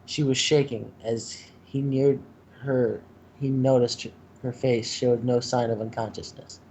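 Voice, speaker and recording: A man's voice: mid-range voice, level tone, a little slow, darkish tone. A man reading a story aloud as its narrator. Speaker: reader in a group fanfiction reading. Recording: faintly noisy home recording.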